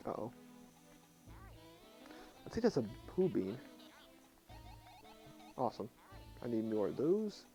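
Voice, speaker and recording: A young man talks in a low, hushed voice, chatting casually to viewers while he plays. His tone is mellow and relaxed. Faint game music runs underneath. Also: slow, long pauses. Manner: quiet and subdued, talking softly at night. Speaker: streamer. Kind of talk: casual live game commentary.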